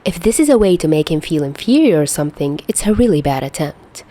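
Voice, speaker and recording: Woman reading fanfic, kinda low voice, really expressive, pitch goes all over. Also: quick pace. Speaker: fan fiction reader. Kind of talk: expressive scripted story narration.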